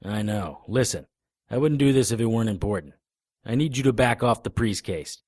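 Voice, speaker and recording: A man playing a wry, laconic private detective in a noir audio drama, with deadpan delivery. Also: fairly low pitch, a little fast, dry recording, clean studio sound. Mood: urgent, firm.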